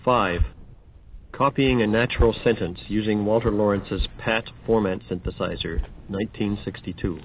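A man's voice: lowish voice, normal speed. A man narrating an audio demonstration, reading scripted announcements. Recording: dull, noisy old record transfer.

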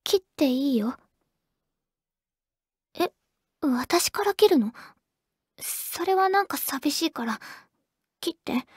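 A woman playing a shy, awkward teenage girl on the phone with her childhood-friend crush, in an anime audio drama. She speaks softly in an intimate, hesitant, stammering way with a breathy, whispery voice. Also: high voice, animated, slow, pauses often, no room sound, clean studio sound. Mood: shy, reluctant.

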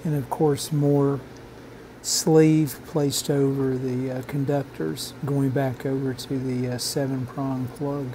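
A man narrating an instructional repair video in a halting, measured, technical way. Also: mid-range voice, little pitch movement, dark tone, a little hiss or hum, rough recording. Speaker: host of a radio repair channel.

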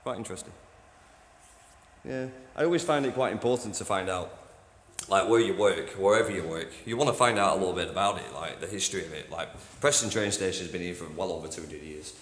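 A man who cleans a train station, giving a casual walking tour on his phone, chatty and rambling, with a deep voice. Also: a little fast. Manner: chatty, rambling, says "you know what I mean". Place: old train station toilets and platforms at night. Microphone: handheld phone.

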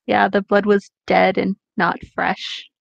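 A woman's voice: mid-range voice, level tone, slow pace, gravelly, no room sound, lo-fi sound. A woman chatting casually and reading aloud as co-host of a comedy reading show.

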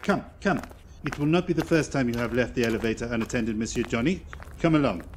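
An actor playing a shrewd middle-aged Belgian detective with a French-speaking Belgian accent, formal and precise, in a 1940s radio drama. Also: male voice, mid-range voice, fast talker, noisy background, close to an everyday voice. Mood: brisk, composed. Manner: formal, theatrical, precise phrasing. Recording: vintage 1940s radio broadcast, music behind.

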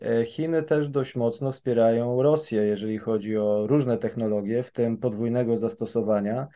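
Hesitant, mellow-voiced male analyst. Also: medium pitch. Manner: hesitant, many fillers and restarts. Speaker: policy analyst guest. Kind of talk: spoken expert commentary.

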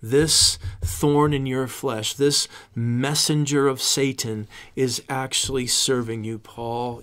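A man in his fifties preaching a sermon, reading scripture with rising emphasis and dropping to a hushed tone for prayers, on a desktop studio microphone in a small indoor studio. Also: medium pitch. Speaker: pastor. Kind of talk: sermon teaching through a psalm. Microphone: large desktop studio microphone.

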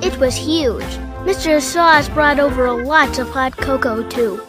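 A woman's voice: high-pitched, unhurried, over music. A woman playing an eager, cheeky young boy in a radio drama. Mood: chipper. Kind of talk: radio drama acting and narration.

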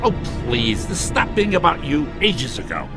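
An actor playing a sarcastic, scheming underworld god in a video game, with glib, theatrical patter. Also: male voice, on the high side, very animated, moderate pace, over music. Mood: mocking. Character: sardonic, fast-talking scheming god of the underworld. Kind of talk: animated video game voice acting.

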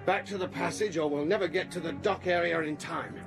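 A gruff spaceship captain from a radio drama, brisk and commanding. His voice is fairly high for a man, and music plays clearly behind it. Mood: brisk, grim. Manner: urgent, commanding. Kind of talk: 1980s science fiction radio drama acting.

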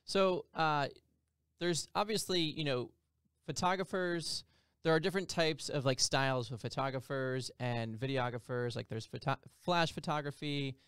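A man's voice: on the high side, moderate pace, fairly bright tone, smooth, no room sound. A man in his thirties hosting a wedding podcast, telling stories full of quoted asides and fillers into a studio mic. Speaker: podcast host, DJ. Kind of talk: casual podcast chat. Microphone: studio mic on boom arm, headphones.

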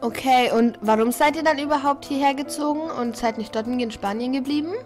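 A teenage girl asks polite, plain interview questions. Her voice is high. Faint music sits underneath. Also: brisk, a little mellow.

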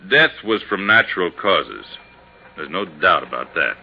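An actor playing a plain-spoken, laconic American police sergeant in a 1950s radio drama. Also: male voice, low voice, big pitch swings, a little slow, vocal fry, full character voice. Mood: insistent. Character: male police sergeant, plain-spoken, easygoing, matter-of-fact. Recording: muffled, noisy 1950s radio recording.

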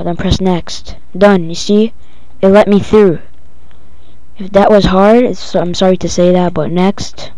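A female-sounding speaker giving a casual, halting, improvised step-by-step software tutorial. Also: medium pitch, clear, resonant tone, no room sound. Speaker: tutorial presenter. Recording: dull lo-fi home recording.

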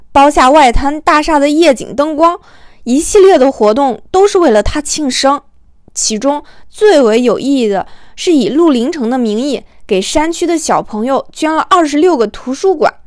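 Female audiobook narrator, high voice, expressive scripted storytelling, colloquial, comic romance, dry recording. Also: dry recording.

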